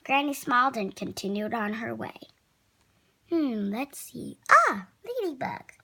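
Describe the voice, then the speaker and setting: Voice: mid-range voice, sing-song, unhurried, bright, forceful tone. A reader narrating a picture book aloud expressively, with playful asides. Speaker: children's story reader.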